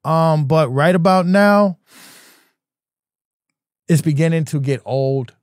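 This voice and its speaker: An older man in his sixties, talking earnestly in casual podcast chat. His voice sits a bit high, and he repeats words as he works toward his point. The studio sound is dry and close. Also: takes it slowly, a little mellow, dry recording. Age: 60s. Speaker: podcast guest.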